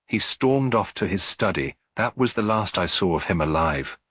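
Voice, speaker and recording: A man's voice: deep voice, expressive, normal speed, fairly bright tone, husky, full character voice. A man voicing an unhappy younger woman in a detective story, speaking in a subdued, hesitant, confessional manner. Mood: regretful, hesitant. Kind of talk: detective story character reading.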